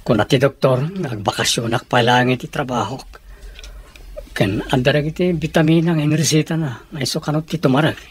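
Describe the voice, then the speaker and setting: A man's voice: mid-range voice, moderate pace, breathy edge. A man with an Ilocano accent playing a resigned, patient electrician father who is losing his sight, in a radio drama. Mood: weary, resigned.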